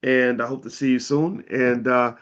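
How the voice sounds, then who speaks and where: A slightly hoarse man hosting, giving a casual, persuasive sales pitch to viewers in plain-spoken talk, often repeating words as he restarts. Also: level tone, moderate pace, a bit bright, highs cut off. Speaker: host pitching an investing course.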